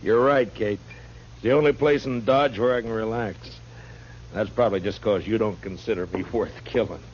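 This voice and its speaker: An actor playing a weary, guarded frontier marshal with dry humor and an American accent in an old-time radio western. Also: male voice, lowish voice, moderate pace, lightly acted. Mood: amused, relaxed. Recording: muffled, hissy old radio recording.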